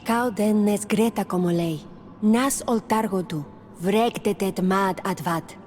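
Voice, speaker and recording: A woman's voice: mid-range voice, a little slow, darkish tone, lightly acted. An actress playing a young native islander woman in a fantasy video game cutscene. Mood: solemn. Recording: reverberant space with faint ambient noise.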